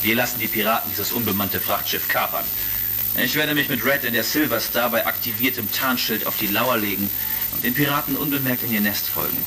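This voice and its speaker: A man's voice: mid-range voice, animated, a little fast, noisy background, lightly acted. A man playing a resolute, shrewd heroic space commander in a retro sci-fi audio drama.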